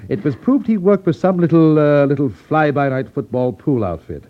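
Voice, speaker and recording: Actor as a suave, mockingly polite crime boss, swooping delivery. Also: male voice, fairly high pitch, lively intonation, normal speed, natural voice. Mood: irritated, haughty. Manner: smooth, mockingly polite, pompous. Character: suave, menacing crime boss of a betting racket. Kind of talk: 1950s radio acting. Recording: dull 1950s radio recording.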